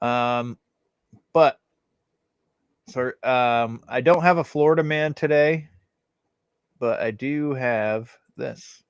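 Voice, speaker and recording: A man's voice: mid-range voice, slow, pauses often. A man co-hosting a casual podcast chat, rambling and correcting himself as he talks. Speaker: podcast co-host.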